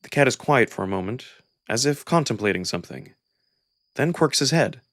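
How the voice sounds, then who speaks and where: Male narrator, medium pitch, first-person visual novel reading, expressive, dramatic, acted storytelling. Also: moderate pace, no echo, studio quality. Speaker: narrator and voice actor.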